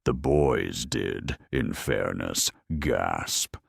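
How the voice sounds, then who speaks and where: A man narrating a scripted dramatic story in a wry, deadpan manner. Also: extremely low pitch, extremely slow, vocal fry, husky, dry recording, studio quality.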